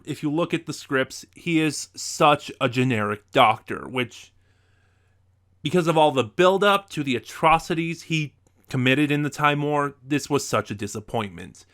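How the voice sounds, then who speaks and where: A man giving an opinionated review, speaking in a measured way with emphatic stresses on key words. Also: fairly high pitch, fairly bright tone.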